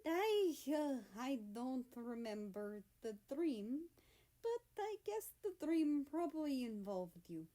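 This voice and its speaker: A woman's voice: sits high, big pitch swings, takes it slowly, warm tone, voice pushed up, heavily acted. A woman voicing a shy, easily flustered young man who stammers and hesitates.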